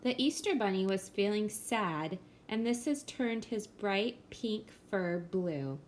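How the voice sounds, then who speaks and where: Woman narrator, children's picture book read-aloud, slow and gentle, faint room noise. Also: mid-range voice, lively intonation. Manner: slow, gentle storybook reading. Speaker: storyteller.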